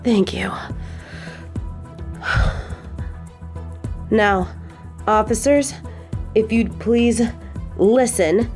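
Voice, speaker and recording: Woman acting an urgent female field agent, unhurried, over music. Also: on the high side, a little mellow, voice pushed up. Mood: pleading. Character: earnest female agent, pleading, foul-mouthed, protective. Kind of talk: dramatic audio roleplay acting.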